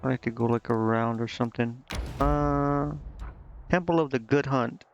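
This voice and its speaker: A man's voice: mid-range voice, moderate pace, dull audio. A man giving casual gameplay commentary, indecisive and muttering to himself as he streams. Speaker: game streamer. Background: game music.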